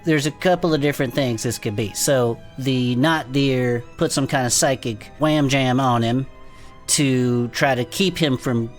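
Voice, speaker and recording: A woman's voice: rumbling low, unhurried, soft background music. A woman playing a wry, easygoing podcast co-host in a comedic audio drama, speaking casually and with plenty of slang. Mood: wry musing. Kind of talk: conversational comedic audio fiction.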